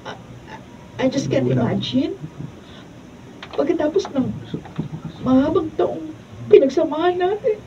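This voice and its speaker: A wronged, proud woman with a high, melodramatic voice in Filipino radio drama. She is picked up from a distance in a muffled, noisy recording. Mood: hurt. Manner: intensely emotional, melodramatic. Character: passionate, wronged Filipino woman with wounded pride. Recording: muffled, noisy, distant off-camera studio capture.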